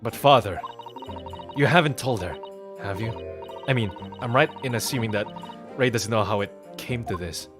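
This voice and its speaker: A man voicing an earnest, devoted young woman, a judicial assistant who speaks in a soft, formal, hesitant way. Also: fairly low pitch, moderate pace, long pauses, lightly acted. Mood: earnest, concerned. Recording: music behind the voice.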